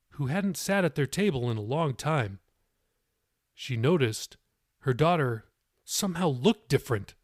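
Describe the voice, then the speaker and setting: A man narrating and hosting in an expressive, conversational way. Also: mid-range voice, no echo, studio quality. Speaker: author and podcast host reading own novel. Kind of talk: audiobook narration and podcast outro.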